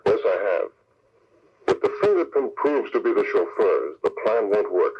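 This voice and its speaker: A man's voice: lowish voice, huge pitch swings, normal speed. A man playing a shrewd, confident masked crime-fighter in an old radio drama, explaining with authority. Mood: deliberating. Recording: noisy, muffled old radio recording.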